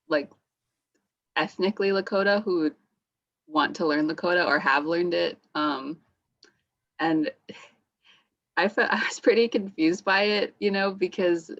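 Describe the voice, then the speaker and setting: A young woman student on a panel, talking casually and hesitantly with frequent "like" and soft laughs. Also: on the low side, measured pace, pauses often, dull audio. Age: young adult. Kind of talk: casual panel talk over video call.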